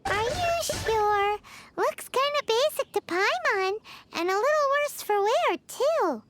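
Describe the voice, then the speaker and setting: A tiny floating fairy girl chatters in a piercingly high, bouncing voice. She sounds blunt and skeptical, drawing her words out slowly. Game audio carries a clear layer of noise behind her. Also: lively intonation, exaggerated voice. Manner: squeaky, talks about herself in third person, skeptical. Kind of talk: video game dub.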